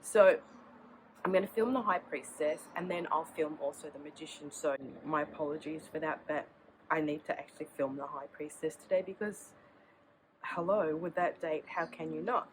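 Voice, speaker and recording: A woman's voice: sits low, steady tone, moderate pace, background noise. A woman with a sore throat and a cold, chatting casually to camera outdoors as the host of her tarot channel, rambling and restarting sentences. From Australia.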